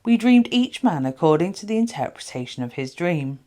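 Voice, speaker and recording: A woman's voice: on the low side, big pitch swings, normal speed, mellow. A woman reading the part of a male royal servant eagerly recounting events in a scripture story, with emphatic storytelling. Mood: confessing, earnest.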